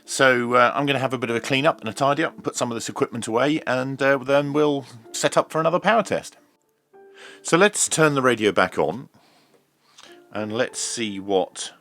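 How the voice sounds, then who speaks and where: A man's voice: mid-range voice, fairly bright tone. A man presenting an unscripted, hands-on tutorial, speaking in hesitant, piecemeal phrases with frequent ums. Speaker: presenter of radio review and repair videos.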